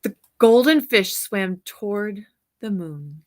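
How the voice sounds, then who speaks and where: Woman doing storytime, really slow, drags words out, points stuff out for little kids. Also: mid-range voice, expressive, a little mellow. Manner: unhurried, drawn-out words, points things out to young listeners. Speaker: read-aloud storyteller, teacher. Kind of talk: picture book read-aloud with asides on the pictures.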